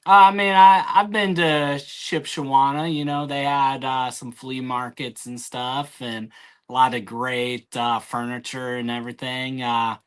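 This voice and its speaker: A man's voice: mid-range voice, little pitch movement, a little slow, a bit bright, smooth. A man chatting casually and jokingly, with drawling, hesitant trash talk.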